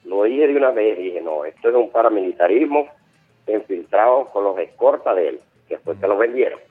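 A man's voice: lowish voice, lively intonation, moderate pace. A middle-aged Venezuelan man calling a radio show, talking in an insistent, rambling way and repeating himself. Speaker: caller. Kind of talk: phone-in radio call. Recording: phone line with faint music.

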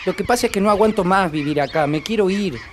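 A man's voice: high-pitched, quick pace, slight background noise, close to an everyday voice. An actor playing a restless, fed-up young village man in a radio drama, brash and impatient, with a northwestern Argentine accent.

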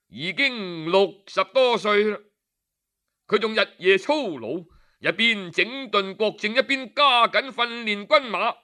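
Man, Cantonese accent, storyteller, high voice, clear and smooth, dramatic sighs. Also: sharp tone. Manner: dramatic, sighing, shifts pitch for quoted figures.

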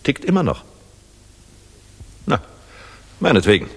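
An actor playing a dashing, world-weary middle-aged air force general in a 1960s German radio play, musing and commanding. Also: male voice, medium pitch, big pitch swings, measured pace, husky, full character voice. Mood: brisk, tired. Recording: reverberant 1960s mono radio recording.

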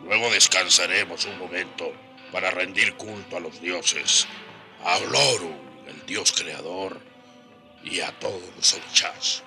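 Low, gravelly, bitter rebel. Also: male voice, music in the background. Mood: resolute, devout. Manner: emphatic, deliberate. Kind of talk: radio drama acting.

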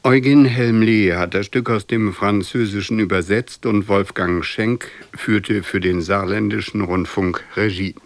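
A man's voice: lowish voice, fairly even intonation, a little slow, few pauses, no room sound. A man reading a scripted introduction to a radio play as the announcer.

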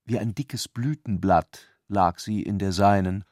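A man's voice: fairly low pitch, measured pace, a little mellow, no echo. Man narrating literary prose, dry studio sound. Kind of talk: scripted narration for a radio play.

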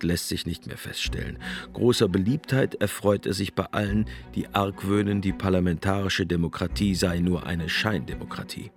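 A man reading scripted documentary narration as the programme's narrator. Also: deep voice.

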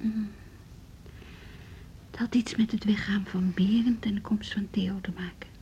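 An actress playing a hesitant, earnest woman music teacher in a naturalistic radio drama, speaking haltingly. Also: mid-range voice, fairly even intonation, mellow, highs cut off, slight background noise, close to an everyday voice. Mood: intimate, subdued.

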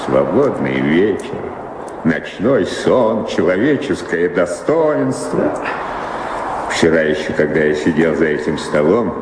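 A deep-voiced, grumbling old-guard servant. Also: male voice, big pitch swings, full character voice. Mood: indignant, grumbling. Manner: grumbling, deliberate. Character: long-serving male servant, grumbling, dignified, orderly. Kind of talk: theatrical radio drama acting. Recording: echoey hall, long reverb, background noise.

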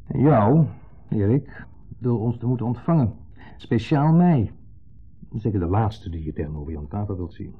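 An actor playing a wistful, brooding, middle-aged ex-husband in a radio play, speaking in wordy, hesitant, formally polite sentences with a pointed undertone. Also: male voice, on the low side, lively intonation, normal speed, pauses often, slightly rough. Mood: measured, wry. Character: wistful, brooding ex-husband, a long-winded dinner guest. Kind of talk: 1970s Dutch radio play acting. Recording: muffled, phone-like 1970s radio recording.

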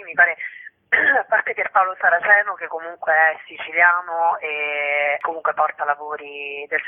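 A woman chatting informally and jokingly as a guest in a radio interview, heard over a narrow phone line. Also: on the low side. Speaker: theatre festival curator, interview guest.

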